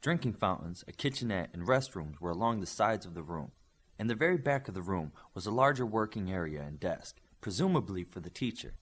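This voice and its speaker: A man narrating scripted fiction as an audiobook reader, with expressive delivery that lifts into dialogue. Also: deep voice, brisk, breathy edge.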